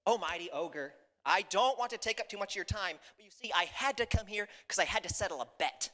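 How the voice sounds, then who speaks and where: A man voicing a cunning, fast-talking storybook cat, flattering and theatrical, with dramatic, persuasive patter. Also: sits high, in a large hall, pitched above the performer's own voice. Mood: sly coaxing.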